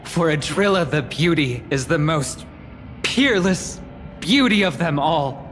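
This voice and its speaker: A sly envoy from a video game, talks in a slow, airy, flattering way. Music plays clearly behind the voice. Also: male voice, fairly high pitch. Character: sly, breezy envoy who flatters his way out.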